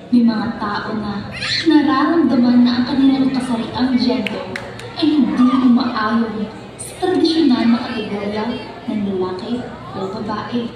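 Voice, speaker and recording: High-voiced woman narrating in an echoey hall. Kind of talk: scripted dramatic narration. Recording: echoey hall, distant mic, music behind.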